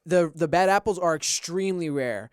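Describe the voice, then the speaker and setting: A man's voice: on the high side, normal speed, clear, resonant tone, no room sound, studio quality. A young man co-hosting a podcast, telling stories in a rambling way and quoting himself as he goes. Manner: rambling, restarts, quotes himself. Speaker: podcast co-host. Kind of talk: casual storytelling chat.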